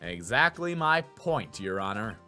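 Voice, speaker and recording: A man performs a video game defense attorney, earnest and theatrical. The delivery is accusing and declamatory, with very animated pitch. Faint music plays underneath. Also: unhurried, heavily acted. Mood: confident, pressing. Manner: dramatic, declamatory.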